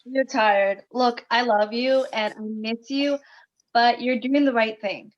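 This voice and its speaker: Tender wife, acted by a woman, higher-pitched, supportive, screenplay drama, muffled video-call sound. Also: steady tone, takes it slowly. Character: affectionate, supportive wife on a late-night phone call. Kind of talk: screenplay table read.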